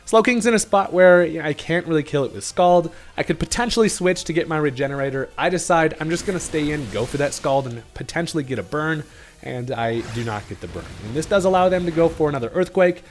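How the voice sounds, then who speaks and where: A man's voice: fairly high pitch, fast. A man giving casual, joking commentary over his own game battle, his voice still a little off from a recent illness. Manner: laid-back, jokey, swears casually. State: recovering from illness, voice slightly off. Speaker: gaming commentator.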